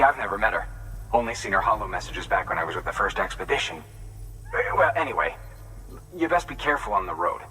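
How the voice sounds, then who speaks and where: A weary way station keeper in a game drama, excitable and colloquial. His voice is bright and pushed, rambling with feeling. It sits off the mic with faint noise behind it. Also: medium pitch, distant mic, rough recording. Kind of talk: dramatic video game cutscene acting.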